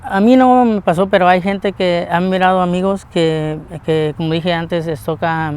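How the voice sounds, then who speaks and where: A middle-aged man from Mexico who works at a food plant, speaking plainly and haltingly in a documentary interview. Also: sits high, steady tone, a little mellow, clean tone. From Mexico (Guanajuato). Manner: halting, plain-spoken, repeats words as he searches. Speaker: onion plant food-safety worker.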